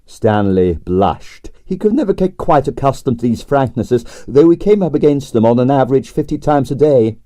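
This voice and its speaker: A man narrates a comic crime novel with wry, dry wit. His voice is mellow and lively in pitch, recorded close in a dead, dry space. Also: moderate pace, hardly any pauses, dry recording. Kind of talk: abridged audiobook narration.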